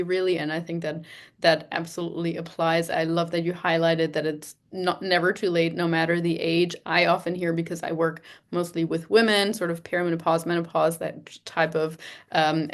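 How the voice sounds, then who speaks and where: A woman hosting an interview in a conversational, affirming, thoughtful way, with hesitant phrasing. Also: on the low side. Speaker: podcast host, nutrition coach.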